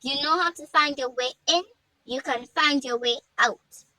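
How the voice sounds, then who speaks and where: A woman's voice: sits high, unhurried, pushed and bright, no room sound, heavily acted, poor audio. A woman voicing an emotional, dramatic village girl in a told story, defiant yet tender. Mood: angry, stern. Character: defiant grieving village girl, tender with her sick mother.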